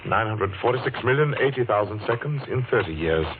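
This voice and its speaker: A man's voice: fairly low pitch, expressive, background noise, lightly acted. A man acting in an old radio suspense play as a vengeful, precise clockmaker with a pedantic, coldly courteous manner. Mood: precise, brooding. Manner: pedantic, deliberate, mock-solicitous. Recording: tinny, band-limited old radio recording, music behind.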